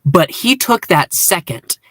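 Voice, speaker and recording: A man's voice: high-pitched, sing-song, slow, fairly bright tone, dry recording. Earnest man, close dry sound. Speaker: podcast panelist. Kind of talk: casual fan review discussion.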